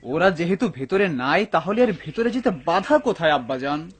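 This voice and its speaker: A man's voice: a little high, very animated, moderate pace, a little hiss or hum. A man playing an eager, impatient young son in a dubbed cartoon, earnest and dutiful.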